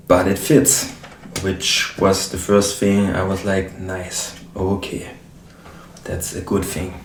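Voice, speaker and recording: Slow deep-voiced German man, far from mic. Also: a little mellow, echoey room, a little hiss or hum. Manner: halting, searching for English words. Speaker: modular synth hobbyist. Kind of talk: casual talk while assembling gear.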